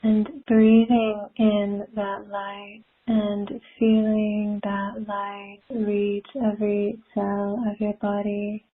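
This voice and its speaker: A woman leading a spiritual guided meditation in a soothing, gentle manner with long pauses, recorded from a live call. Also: mid-range voice, completely flat delivery, slight background noise. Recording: muffled phone-like live call audio.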